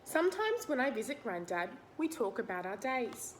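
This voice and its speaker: Higher-voiced woman reading to children. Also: very animated, normal speed, a little hiss or hum. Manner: explanatory, asks the listener simple questions. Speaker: read-aloud teacher. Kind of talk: children's picture book read-aloud with simple explanations.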